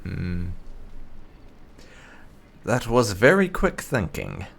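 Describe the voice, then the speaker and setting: A man hosting a video game playthrough, commenting and reading out dialogue choices in roleplay, often halting to think aloud. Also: lowish voice, wildly swooping pitch, creaky, a bit bright, breathy edge. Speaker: gaming channel host.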